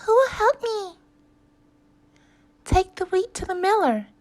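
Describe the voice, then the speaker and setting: An earnest little mother hen from a storybook, acted out by a woman, high in pitch and very slow. She is hardworking and determined, speaking in a sing-song way. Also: very animated, darkish tone, heavily acted. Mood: hopeful asking. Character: small industrious female hen, earnest and determined.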